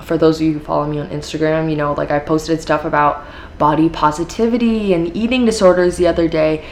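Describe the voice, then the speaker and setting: Chatty low-voiced American teen woman podcaster. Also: steady tone, fairly quick, darkish tone, slight background noise. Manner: chatty, filler-heavy, upbeat with frequent laughs. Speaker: podcast host. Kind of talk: casual solo podcast talk.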